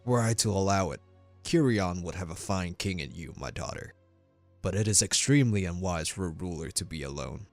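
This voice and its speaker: A stern king and father played by a man, very low in voice and firmly authoritative. His speech is formal and dignified, recorded dry over faint music in a fantasy audio drama. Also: lively intonation, a little fast, no echo. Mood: grave, instructive. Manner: firm, quiet, formal, authoritative.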